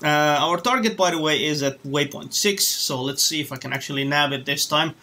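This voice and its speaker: A man's voice: a little high, brisk, sharp tone. A man from Romania chatting casually on a live stream while flying a simulator, rambling and restarting his sentences. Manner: rambling, hesitant, many restarts. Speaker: flight sim streamer.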